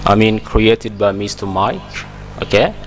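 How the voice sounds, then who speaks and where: A man's voice: on the low side, expressive, a little slow, slight background noise, rough recording. A man from Congo, hosting a TV English lesson in an emphatic, encouraging way that repeats words. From Democratic Republic of Congo. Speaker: English teacher and TV host. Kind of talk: spoken English lesson to TV viewers.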